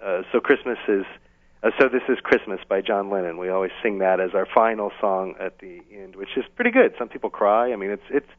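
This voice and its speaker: A man being interviewed casually on the radio as an event organiser, explaining things in a halting way over a phone line. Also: lowish voice, animated. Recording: thin, band-limited phone line.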